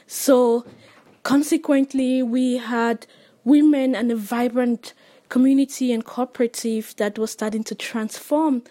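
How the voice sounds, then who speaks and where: Mellow, higher-pitched Cameroonian woman. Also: fairly even intonation, unhurried, no room sound. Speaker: interview guest, NGO worker. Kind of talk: explanatory interview talk.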